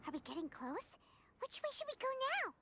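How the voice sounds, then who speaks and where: A woman voicing a small, childlike, talkative fairy companion in a video game, squeaky and exclaiming. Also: very animated, a little fast, pushed and bright, a little hiss or hum, full character voice. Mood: curious, thoughtful. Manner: refers to self in third person, exclaiming. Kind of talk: video game character acting. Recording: music behind the voice.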